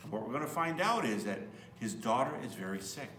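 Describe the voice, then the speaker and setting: Male priest for children's story reading; expressive, bright delivery, echoey room, mic set back with faint hum. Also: mid-range voice, fairly quick, recorded from a distance. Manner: expressive, explains in simple words as to children. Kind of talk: storybook read-aloud with asides to children.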